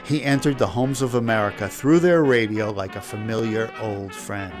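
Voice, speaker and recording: A man's voice: lowish voice, moderate pace. Man narrating a script over music. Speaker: host.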